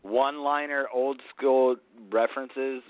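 A man from Georgia co-hosting a podcast, talking haltingly with sarcastic asides and frequent swearing. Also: mid-range voice, fairly even intonation, no room sound. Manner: halting, lots of fillers and restarts, sarcastic. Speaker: podcast co-host. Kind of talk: casual profane podcast banter. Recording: dry, tinny phone-like sound.

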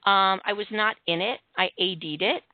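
A woman with a Midwestern accent hosting a casual chat, talking fast with many ums and restarts. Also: mid-range voice, no room sound. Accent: Midwestern American. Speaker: host. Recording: tinny phone-like line.

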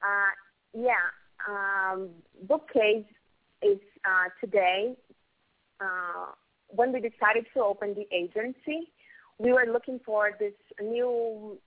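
A woman's voice: mid-range voice, fairly even intonation, takes it slowly. Brazilian woman on a tinny phone line. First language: Portuguese. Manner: apologetic, searching for words. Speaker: literary agent. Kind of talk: interview guest. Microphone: phone call.

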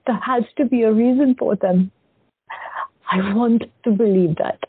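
A gentle young woman with visions, calm and earnest, played by an actress. Her voice is very breathy and slightly high. The sound is dry and thin, like a phone line. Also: unhurried, dry recording. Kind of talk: audio drama acting.

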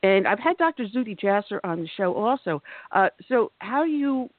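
A woman's voice: medium pitch, very animated, normal speed, no room sound. A woman hosting a radio talk show, asking probing questions in a conversational way. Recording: tinny phone-like sound.

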